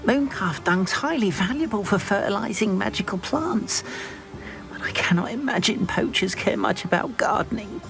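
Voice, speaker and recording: An actor playing a timid, sentimental goblin in a video game, with a plaintive, fretful way of talking. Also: male voice, high-pitched, animated, moderate pace, gravelly, music in the background, rough recording. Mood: distressed, fretful. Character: timid, anxious, sentimental goblin doting on his pet. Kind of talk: video game character acting.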